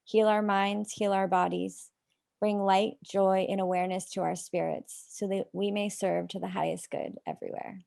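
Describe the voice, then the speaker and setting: A woman facilitating a ceremony, reading an invocation and then introducing a guest in a measured, solemn way. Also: medium pitch, steady tone, gravelly, mellow, no room sound, clean studio sound.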